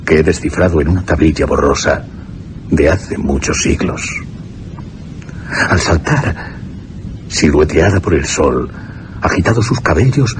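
Lovestruck, deep-voiced young English gentleman. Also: background noise. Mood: enraptured. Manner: dreamy, expressive delivery. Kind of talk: Spanish radio drama acting. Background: music behind the voice.

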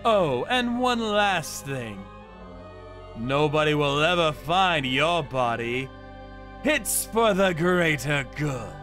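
A man's voice: a little high, big pitch swings, slow, a bit bright, soft background music, full character voice. A man acting a cold, vengeful torturer who tells his story in a theatrical, menacing manga dub style. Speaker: narrator and lead voice actor. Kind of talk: dramatic manga dub narration.

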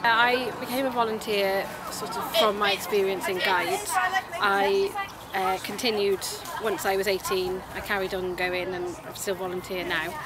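A woman's voice: medium pitch, normal speed, loud music behind the voice. A young woman from Cardiff with a Welsh accent, a Girl Guide leader, talking in a chatty way outdoors in an interview. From Cardiff, Wales. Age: young adult. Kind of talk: documentary interview. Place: outdoors by a wall. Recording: outdoor, loud crowd noise behind.

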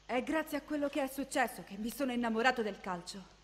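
A woman's voice: on the high side, expressive, quick pace, lightly acted. A woman voicing an earnest, youthful boy soccer player in an Italian anime fan dub. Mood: sincere, subdued. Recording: long reverb, music behind.

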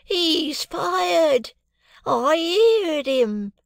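Excitable, high-pitched old man. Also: creaky, dry recording, clean studio sound, heavily acted. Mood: exultant. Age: older. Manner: drawn-out, excitable exclamations. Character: cheerful, proud, hard-of-hearing old man, exultant.

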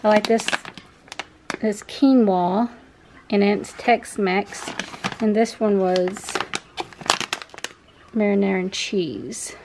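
Young female home vlogger, medium pitch, slow rambling casual delivery, noticeable background noise. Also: fairly even intonation. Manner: chatty, rambling, self-correcting. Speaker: vlogger, parent showing a grocery haul. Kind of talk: casual unscripted product show-and-tell. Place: indoors in a home kitchen.

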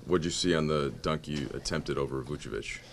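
A male sports reporter asking rapid, rambling postgame questions with restarts. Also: very deep voice, creaky, slight background noise.

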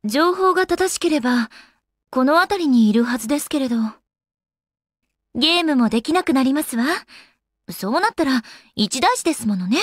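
Casting: refined, playful young goddess in an anime game, high voice, genteel diction, very dry close sound. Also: female voice, animated, moderate pace, no room sound. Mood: serious. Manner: polite, ladylike, genteel phrasing. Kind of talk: Japanese anime game voice acting.

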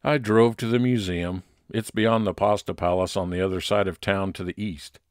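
A man's voice: sits low, steady tone, moderate pace, dry recording, clean studio sound, lightly acted. A man playing an observant, wry private investigator, matter-of-fact, in old-time radio detective style.

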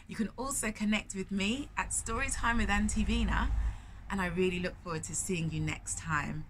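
A woman in her thirties reading a picture book aloud to young children, with expressive drawn-out emphasis and questions put to the listener. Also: mid-range voice, slight background noise. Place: indoors in a bookshop, in front of shelves.

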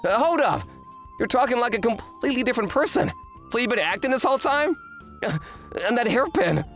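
A woman voicing an earnest, kind teenage boy, an excitable manga fan, in an anime-style romantic comedy dub. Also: fairly high pitch, a little fast, faint music behind. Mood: bewildered. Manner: earnest, exclamatory.

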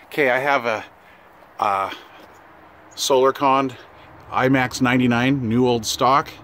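A man's voice: mid-range voice, fairly even intonation, unhurried, a bit bright, slight background noise. A man giving a casual, plain-spoken hobbyist walkthrough, explaining a setup in informal words as he records on a handheld camera outdoors. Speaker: amateur radio hobbyist presenting his setup. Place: outdoors beside a camper trailer, briefly inside it. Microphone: handheld camera or phone, held by the speaker.

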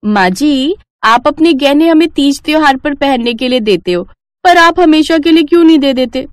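A woman puts on a greedy daughter-in-law for an animated moral tale. She is pushy and quick to complain, eager for what she wants. The sound is dry and close. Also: high-pitched, no room sound, voice pushed up. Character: greedy, pushy elder daughters-in-law, grown women with children. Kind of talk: animated moral story dialogue.

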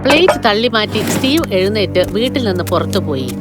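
A woman narrates a children's fairy tale in a scripted storytelling style. Her pitch is average but very lively in its movement, and music plays clearly behind her. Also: brisk.

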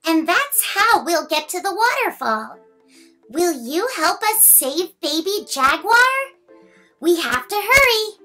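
Very high-pitched, animated woman storyteller. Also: takes it slowly, soft background music. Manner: exaggerated, playful, addressing young listeners with questions. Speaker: children's storyteller reading picture books aloud. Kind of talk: animated read-aloud for young children.

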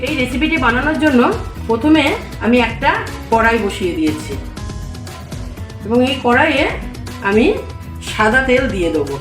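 Female cooking host, higher-pitched, measured instructional voice-over in short phrases, faint music underneath. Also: lively intonation. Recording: roomy echo, music behind.

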